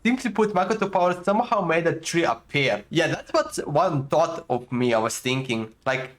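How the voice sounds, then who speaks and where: A man giving casual, spontaneous gameplay commentary, thinking aloud in a halting, repetitive way with non-native phrasing. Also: on the high side, expressive. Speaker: gaming video host.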